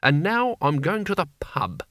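A man voicing a bad-tempered, bossy old male wizard in an audiobook, sharp and contemptuous. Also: mid-range voice, lively intonation, unhurried, no echo, clean studio sound, voice pushed up. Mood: bossy, scornful.

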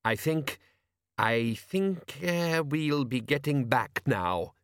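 A man's voice: mid-range voice, expressive, slow pace, smooth, no echo. A man plays a working-class fisherman dad in a comic storybook. He is stubborn, defensive and full of blustering indignation, speaking colloquially in a dry, close recording. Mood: gruff, dismissive.

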